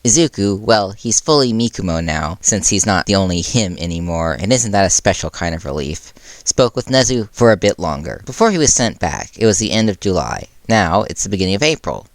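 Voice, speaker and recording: A man's voice: deep voice, big pitch swings, fairly quick, no echo. A man reading his own fan fiction aloud as a narrated story. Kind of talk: narrated storytelling with character voices.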